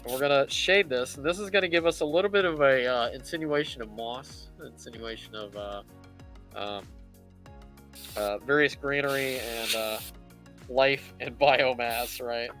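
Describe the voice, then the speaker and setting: A man with a bright, forward voice talks casually through a hands-on tutorial. He rambles and thinks aloud as he works, at a middling pitch, with music playing behind him. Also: normal speed. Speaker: livestream host teaching miniature painting. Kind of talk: casual live tutorial chat.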